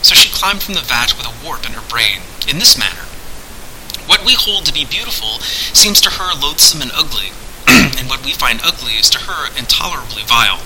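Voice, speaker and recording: A man's voice: mid-range voice, sing-song, moderate pace, background noise. A man voicing a commanding, courteous unseen sorcerer who speaks in formal, declamatory archaic phrases. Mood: amused.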